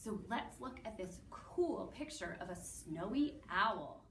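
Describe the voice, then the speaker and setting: A woman with a Canadian accent presenting to young children as a story time host, in an animated way with simple, drawn-out wording. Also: mid-range voice. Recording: roomy, distant mic with background noise.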